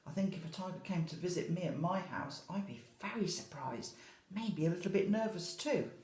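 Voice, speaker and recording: A woman teacher reading a picture book to young children in an expressive way, adding chatty asides and questions. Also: on the low side, a little fast, echoey room. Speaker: infant school teacher.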